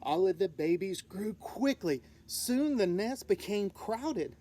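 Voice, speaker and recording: Female storybook reader: slow-paced, mid-pitched, expressive, dramatic read-aloud narration. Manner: expressive, dramatic delivery with sound words.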